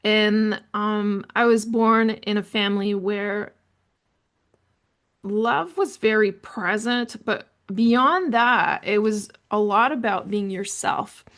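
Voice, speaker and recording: A woman's voice: mid-range voice, slow pace, a bit bright. French Canadian woman hosting her podcast. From New Brunswick, Canada. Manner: reflective, candid, with frequent ums and self-corrections. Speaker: podcast host. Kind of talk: personal storytelling monologue.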